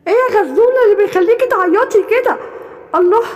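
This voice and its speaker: Gentle helpful boy animal played by an egyptian woman, high voice, echoey. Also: expressive, quick pace, highs cut off. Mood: reassuring. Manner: gentle, comforting. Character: kind young male forest animal, reassuring. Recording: reverberant room.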